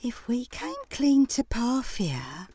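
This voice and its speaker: An actress playing a manipulative mistress who coos with gushing, drawn-out sympathy in a comedy audio drama. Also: female voice, on the high side, sing-song, mellow, husky, dry recording, heavily acted. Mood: cooing, persuasive.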